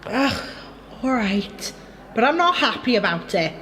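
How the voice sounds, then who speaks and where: A woman's voice: fairly high pitch, very animated, unhurried, fairly bright tone, slight background noise. An actress in a radio play as a frank, insistent young woman, a daughter standing up for herself. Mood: reluctant. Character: reluctant, defiant engaged daughter, frank with her father.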